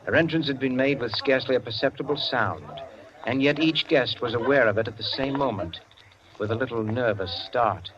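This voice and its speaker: A man narrating a scripted 1940s radio drama in a measured, literary, dramatic manner. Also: mid-range voice, expressive, a crowd in the background, natural voice. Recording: muffled 1940s radio recording with music.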